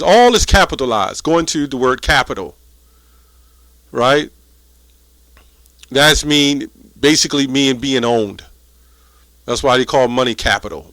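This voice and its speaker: A man's voice: mid-range voice, a bit bright, no echo. A man giving informal religious teaching and scripture commentary in a colloquial way, often checking in with short rhetorical questions.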